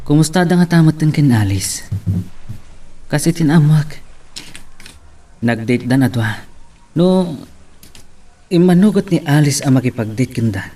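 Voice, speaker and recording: An eager young suitor in an Ilocano radio-style love drama, acted by a man. His voice sits fairly high for a man and has an Ilocano accent. It is picked up from some distance from the mic. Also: lively intonation, measured pace, pauses often, warm tone, faint music behind. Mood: musing. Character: eager lovestruck young man courting a woman. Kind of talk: Ilocano audio drama acting.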